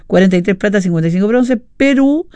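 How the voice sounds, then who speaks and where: A middle-aged woman from Uruguay with a Uruguayan Spanish accent, hosting a casual radio show, chatty and quick to laugh, wandering into asides while reading listener messages. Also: medium pitch, lively intonation, mellow, clean tone, dry recording. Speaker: radio host.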